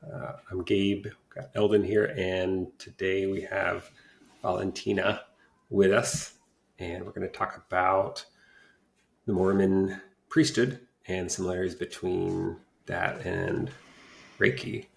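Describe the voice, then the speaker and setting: A man's voice: low-pitched, level tone, slow, vocal fry. A man hosting a podcast, welcoming a guest and asking loose, rambling questions. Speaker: podcast host. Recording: roomy echo.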